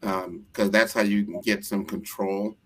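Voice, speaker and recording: A man from the United States with a fairly low voice, speaking conversationally as the host of an interview. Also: level tone, measured pace, fairly bright tone, a little hiss or hum, low-quality recording. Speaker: show host.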